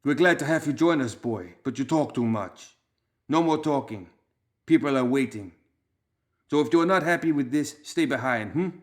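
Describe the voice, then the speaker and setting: A man playing a hard, curt leader with a Balkan accent who growls his orders. The voice is raised and forceful above the narration, clipped and commanding in a storybook reading. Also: medium pitch, expressive, normal speed, pitched above the performer's own voice, close to an everyday voice. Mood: stern. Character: hard-edged male gang leader.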